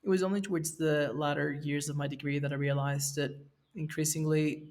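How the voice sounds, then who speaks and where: A man in his thirties from Venezuela, a podcast guest speaking in a relaxed way, with fillers and restarts. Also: fairly high pitch, a little fast. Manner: relaxed, with fillers and self-corrections. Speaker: guest, NFT project co-creator. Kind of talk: casual podcast interview. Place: Mexico, where he is now.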